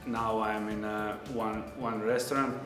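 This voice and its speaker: A man from Croatia, a culinary student and chef giving an interview testimonial in halting, simple English, often repeating words. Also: lowish voice, little pitch movement. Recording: echoey room with background music.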